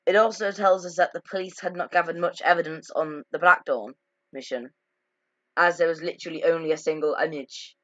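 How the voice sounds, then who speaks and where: A young-sounding gamer giving casual commentary on a game's story in a halting way, often repeating and correcting words, on a lo-fi microphone. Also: mid-range voice, steady tone, fairly bright tone, no echo. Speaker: gaming video narrator. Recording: dull lo-fi microphone.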